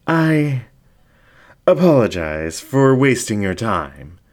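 A man's voice: mid-range voice, very animated, pitched above the performer's own voice. A man voicing a flamboyant, vain and seductive male fox ringmaster in a cooing, theatrical way, as in an audio story. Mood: flustered. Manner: cooing, drawn-out, theatrical delivery.

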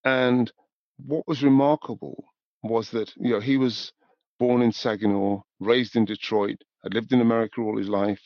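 A man, talking thoughtfully and in a measured way as an interview guest. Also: medium pitch, fairly even intonation, a little mellow, no echo, lo-fi sound. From north of England, born in Newcastle. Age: 70s. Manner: thoughtful, measured, with repeated "you know". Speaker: podcast guest, music industry professional. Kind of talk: reflective interview conversation.

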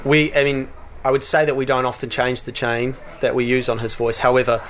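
A man's voice: mid-range voice, brisk. A man who produces radio promos, talking casually in an interview with frequent fillers and restarts. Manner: relaxed, hesitant, with many fillers and restarts.

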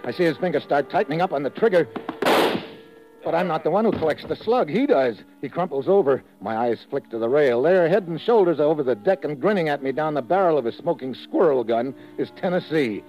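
An actor playing a tough, wry American secret agent who tells his story in terse, deadpan 1950s radio drama style. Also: on the high side, brisk, natural voice. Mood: suspenseful narrating. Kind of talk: 1950s radio drama acting, first-person hard-boiled narration. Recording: muffled vintage radio recording with music.

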